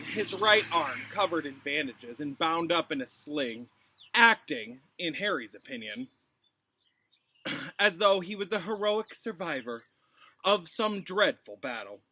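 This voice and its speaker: A man, a teacher, reading a storybook aloud in an expressive narrating style. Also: fairly high pitch, slightly rough.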